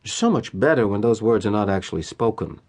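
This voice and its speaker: An actor with an American accent playing a cynical, polished middle-aged corporate executive in an audio drama monologue, wry and deliberate with emphatic pauses. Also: male voice, on the low side, expressive, darkish tone. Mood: coolly reflective. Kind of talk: theatrical first-person dramatic monologue.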